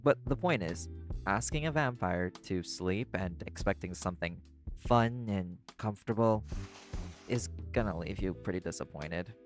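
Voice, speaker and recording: A man playing a laid-back, caring vampire in an audio roleplay, talking the listener toward sleep in a casual, gently instructive way. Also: deep voice, expressive, normal speed, soft background music. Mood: casual, reassuring. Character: laid-back male vampire companion, teasing yet caring.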